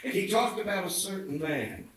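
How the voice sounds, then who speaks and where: A man's voice: high-pitched, animated, moderate pace. A man reading a historic speech aloud at a public event with a preacherly, oratorical delivery. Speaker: reader at a commemorative event. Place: indoor theatre event. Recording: very echoey hall, distant mic, faint noise.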